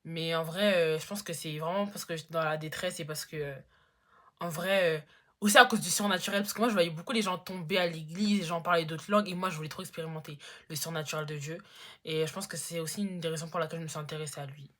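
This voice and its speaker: Chatty teenage girl, lower voice. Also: quick pace, fairly bright tone. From Congo-Brazzaville. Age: teens. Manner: chatty, lots of fillers, slangy. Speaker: YouTuber. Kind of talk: casual Q&A vlog.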